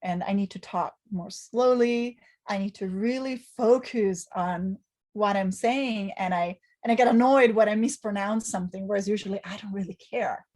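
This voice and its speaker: A woman's voice: mid-range voice, moderate pace, darkish tone. A woman from Italy, a native Italian speaker, who answers interview questions thoughtfully, with restarts and fillers. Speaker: university lecturer interviewee.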